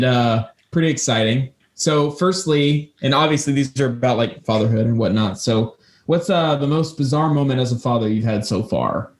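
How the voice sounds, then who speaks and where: A man hosting a podcast in a casual, chatty style. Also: mid-range voice. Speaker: podcast host. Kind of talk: casual chat. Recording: roomy echo.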